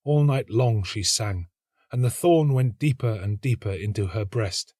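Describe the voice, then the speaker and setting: A man reading a literary story aloud as a narrator, with measured, expressive delivery. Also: fairly low pitch, no room sound, studio quality. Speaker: story reader, actor.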